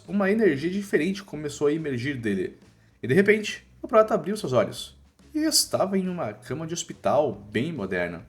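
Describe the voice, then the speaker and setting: Animated Brazilian man narrating a story recap. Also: fairly high pitch. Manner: colloquial, dramatic storytelling. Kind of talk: scripted narration of a comic recap. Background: music.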